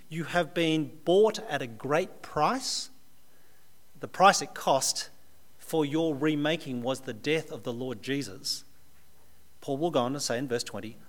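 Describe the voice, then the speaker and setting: Australian man preaching, slightly high voice. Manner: conversational, with dry humorous asides. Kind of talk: sermon. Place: speaking to a live audience.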